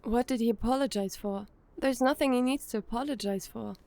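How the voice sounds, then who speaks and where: A woman plays a young girl-group member in an audio drama. Her voice is fairly high, and her manner is calm and reassuring. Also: a little fast, close to an everyday voice.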